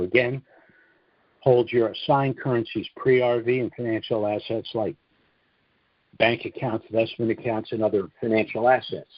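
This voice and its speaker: A man giving a scripted sales presentation on a conference call in a measured, explanatory manner, with muffled, roomy audio. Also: lowish voice. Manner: measured, explanatory, asks and answers own questions.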